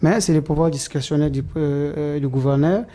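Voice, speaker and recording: A man's voice: on the high side, normal speed, mellow. A man answering interview questions, hesitant and full of "euh" fillers and restarts. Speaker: political supporter interviewed as guest.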